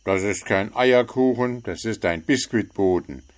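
A man's voice: mid-range voice, very animated, slow, pitched above the performer's own voice, full character voice. A man voicing a grumpy, easily offended little gnome who snaps and grumbles, in a storybook reading. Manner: gruff, irritable.

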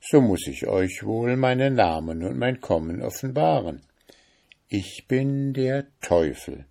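A smooth, sly devil in a gentleman's guise, speaking slowly and with warning in a raised voice, as a man puts him on. He sounds measured and cunning, a fairy-tale prince of hell. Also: medium pitch, animated, pitched above the performer's own voice. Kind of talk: storybook reading.

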